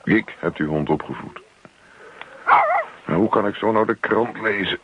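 An actor playing a put-upon, evasive husband in a radio play, muttering, grumbling and placating. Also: male voice, lowish voice, unhurried, background noise, lightly acted. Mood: grumbling to himself. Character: put-upon evasive husband who just wants peace and his newspaper. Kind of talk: Dutch radio play acting. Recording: dull lo-fi old radio recording.

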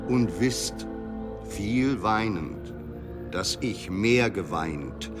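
An actor playing a solemn, burdened king in a radio drama, declaiming tragic verse gravely with weighty, halting pauses over music. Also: on the low side, animated, full character voice. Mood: grave sorrow. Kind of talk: slow theatrical verse declamation. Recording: loud music under the voice.

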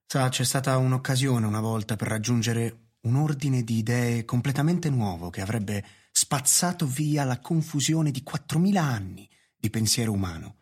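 An intense scientist in a radio drama. His husky, medium-pitched voice carries bitterness. He sounds like a man devoted to his research. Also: lively intonation, moderate pace, hardly any pauses, darkish tone. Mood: intense, bitter. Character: intense, embittered male researcher. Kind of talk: radio play acting.

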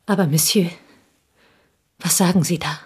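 A woman's voice: lowish voice, steady tone, a little slow, slightly rough. A woman playing an earnest, loyal confidante in a radio play, formal and respectful yet firm and reproving. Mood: indignant, dismayed.

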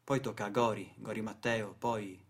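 A man's voice: fairly low pitch, fairly even intonation, normal speed, slightly rough. A man delivering dramatic scripted narration for radio theatre in a solemn, theatrical manner, with short weighted phrases. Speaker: narrator and stage actor.

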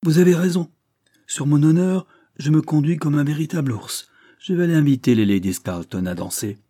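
A man voicing a careless, lovestruck young lord who speaks in a loud, flippant way. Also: a little high, brisk, dry recording, clean studio sound, pitched above the performer's own voice, close to an everyday voice. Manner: boisterous, flippant.

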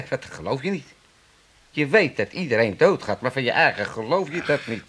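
A man's voice: medium pitch, very animated, moderate pace, pushed and bright, slightly rough, heavily acted. An older man with an Amsterdam accent playing a cantankerous, blunt old father in a comedy radio play. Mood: argumentative. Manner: blunt, grumbling, exclamatory.

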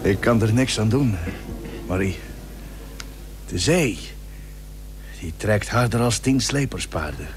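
An actor playing a plucky, stubborn young seafarer and merchant in a period radio drama, speaking earnestly in formal old-fashioned phrases. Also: male voice, lowish voice, lively intonation, takes it slowly, long pauses, over music. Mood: tender, earnest. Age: young adult. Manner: earnest, formal archaic speech. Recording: noisy old radio recording with hum.

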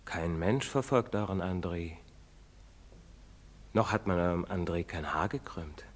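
A man's voice: low voice, lively intonation, measured pace. A fatherly, preaching village priest. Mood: admonishing. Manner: gentle, admonishing, preacherly. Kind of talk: stage play recording. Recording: long hall reverb.

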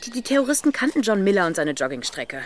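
An actress playing an inquisitive, headstrong police detective in a radio crime drama, questioning and insistent. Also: female voice, medium pitch, very animated, fast, natural voice. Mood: curious, probing. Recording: clicks and outdoor insect noise.

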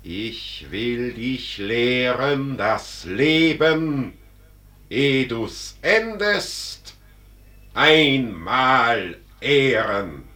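An actor playing Death as a stern, commanding figure, speaking solemn, emphatic verse in a radio play. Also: male voice, mid-range voice, animated, very slow, pushed and bright, full character voice. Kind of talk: declamatory verse radio drama acting.

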